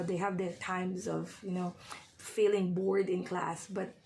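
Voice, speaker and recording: Hoarse woman tutor, chatty. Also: mid-range voice, darkish tone, slight background noise, rough recording. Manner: chatty, often checks in with "okay?". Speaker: reading tutor. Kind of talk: casual vlog talk to viewers.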